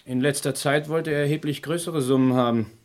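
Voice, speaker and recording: A man's voice: fairly high pitch, steady tone, a little fast, darkish tone, natural voice. An actor playing the blunt, disapproving grandson of a murdered man in a German radio crime drama, forthright and matter-of-fact. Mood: accusing.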